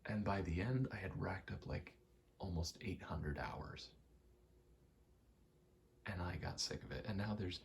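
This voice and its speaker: A soft-spoken man rambling casually in ASMR style, meandering and murmuring, often dropping toward a whisper. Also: fairly low pitch, vocal fry. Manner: meandering, self-correcting, casually sweary. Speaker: video maker rambling over gameplay. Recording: faint background noise.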